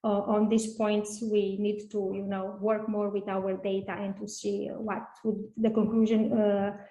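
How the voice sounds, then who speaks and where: A woman answers as an academic at a seminar in a roomy, echoing space. Her pitch is medium and fairly flat, and she goes haltingly, often repeating a phrase while she works out the point. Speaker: academic speaker. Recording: echoey room.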